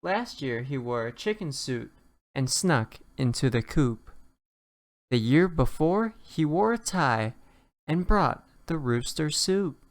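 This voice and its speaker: A narrator reading a rhyming children's storybook aloud in an expressive storytelling way. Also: mid-range voice, slow. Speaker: children's book reader.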